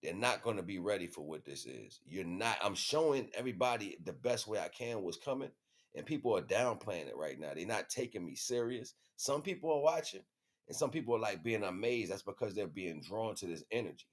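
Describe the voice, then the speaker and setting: American male livestream host, low pitch, informal spiritual monologue, street slang, emphatic and repetitive. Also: expressive, a little fast.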